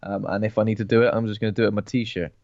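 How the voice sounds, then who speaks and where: A man's voice: lowish voice, little pitch movement, rapid-fire, a little mellow, no room sound, lo-fi sound. A man with a cold co-hosting a horror film podcast, joking and laughing as he chats, often repeating words. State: sniffly with a cold. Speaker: podcast co-host. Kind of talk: casual film podcast chat.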